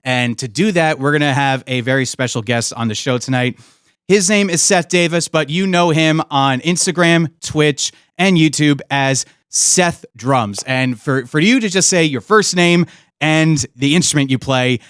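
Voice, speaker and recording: A man's voice: fairly high pitch, a little slow, a bit bright, clean tone, no echo, studio quality. A man in his thirties hosting a podcast, upbeat and jokey, asking long questions. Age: 30s. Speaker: podcast host.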